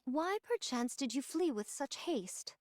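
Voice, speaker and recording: A woman's voice: high-pitched, very animated, a little slow, darkish tone, no room sound. Stern, archaic-speaking warrior woman. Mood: stern questioning. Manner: archaic formal diction, probing and reproachful. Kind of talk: scripted game voice acting.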